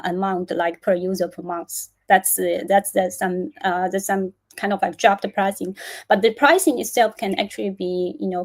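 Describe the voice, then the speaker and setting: A woman's voice: medium pitch, a little mellow. A woman presenter answers product questions off the cuff, repeating words and phrases as she explains and checking in with "right?". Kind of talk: live product Q&A, spontaneous explaining.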